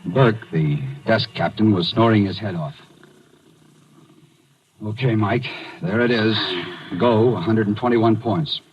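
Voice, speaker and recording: An actor playing an uneasy police reporter, narrating a 1940s radio thriller in a dramatic, deliberate way. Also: male voice, on the low side, slight background noise, lightly acted. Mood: grim storytelling. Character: hard-boiled police reporter, uneasy, squeamish about cats. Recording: muffled old radio broadcast, music behind.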